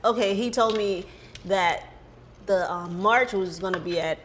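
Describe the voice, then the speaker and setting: A woman's voice: medium pitch, a little slow. An American woman of about forty, chatting casually and playfully as a podcast co-host, acting out bits of conversation. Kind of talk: casual chat. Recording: clear background noise, room ambience.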